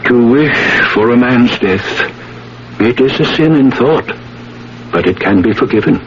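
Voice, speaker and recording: A grave, stern priest speaks with a low voice and measured, admonishing solemnity. It is 1970s radio drama acting. The recording is slightly noisy and dull, like an old broadcast. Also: male voice. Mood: grave, questioning. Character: stern, grave village priest hearing confession.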